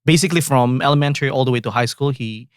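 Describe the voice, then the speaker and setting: A man from Indonesia with an Indonesian accent, a composer guest talking in a halting, relaxed way with many fillers and restarts. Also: mid-range voice, smooth, no echo. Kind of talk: casual interview chat.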